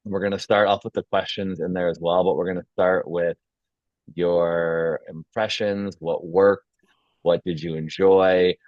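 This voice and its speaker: Low-voiced man hosting a table read. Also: level tone, measured pace, a little mellow, dry recording, dull audio. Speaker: host and script narrator. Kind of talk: stage-direction reading and casual feedback discussion.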